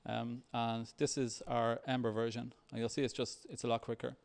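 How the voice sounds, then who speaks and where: Man, developer giving a tech talk, gravelly fry, average pitch, hesitant phrasing. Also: level tone, moderate pace. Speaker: software developer presenting at a tech meetup. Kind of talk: conference talk with live demo.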